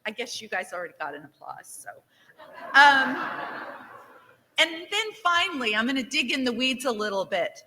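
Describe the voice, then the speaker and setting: A woman director giving lively welcome remarks to a conference audience, asking for shows of hands and cheering the answers. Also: high-pitched, moderate pace, pauses often, noisy background. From Nevada. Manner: upbeat, engages the crowd with show-of-hands questions. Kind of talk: interactive opening speech to a conference audience. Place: large conference hall. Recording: reverberant hall.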